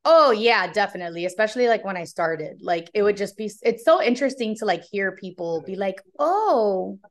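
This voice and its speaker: Cuban-American woman, comedy guest, chatty, laughs a lot, quick bursts. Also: medium pitch, lively intonation. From Cuban background. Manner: laughs often, talks in quick bursts with many "like"s. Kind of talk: casual chat.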